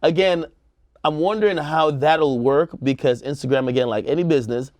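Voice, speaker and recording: A man's voice: a little high, a little fast, a little mellow, dry recording, studio quality. A man co-hosting a casual panel talk, giving emphatic opinions with plenty of slang and swearing. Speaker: show co-host giving opinions.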